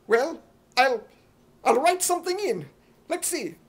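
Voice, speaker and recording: A man voicing an earnest, excitable young hero in an imitation British accent for radio comedy. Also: very high-pitched, measured pace, heavily acted.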